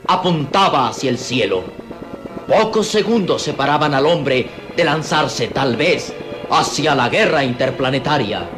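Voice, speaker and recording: A man narrating a radio serial in a solemn, suspenseful, declamatory scripted style. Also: on the high side. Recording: radio serial with loud music.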